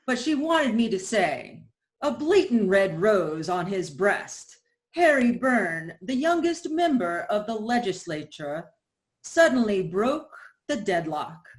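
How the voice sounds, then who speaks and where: A woman's voice: medium pitch, big pitch swings, takes it slowly. A woman giving theatrical scripted narration in a reader's theater, telling it as a dramatic story.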